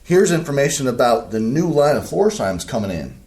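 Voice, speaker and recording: A man's voice: medium pitch, very animated, fairly quick, a little mellow. An actor in a community staged reading playing a fussy, orderly Midwestern husband and father who grumbles as he explains. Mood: methodical.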